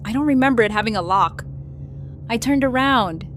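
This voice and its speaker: A woman playing a haunted wildlife photographer, narrating a first-person ghost story with suspenseful, deliberate pauses. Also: fairly high pitch, expressive, background noise, close to an everyday voice. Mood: mounting fear. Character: haunted young woman wildlife photographer recounting a terrifying encounter.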